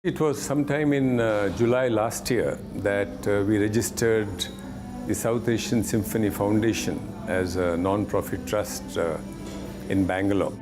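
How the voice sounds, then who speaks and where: Deepish guy, lots of uh's, music in the background. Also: steady tone, measured pace. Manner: hesitant, many fillers. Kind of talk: documentary interview.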